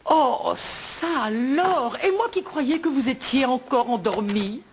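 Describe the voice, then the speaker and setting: An actress playing a kindly, concerned motherly woman in a French cartoon, expressive and exclamatory. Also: sits high, unhurried, a little hiss or hum. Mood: surprised, concerned.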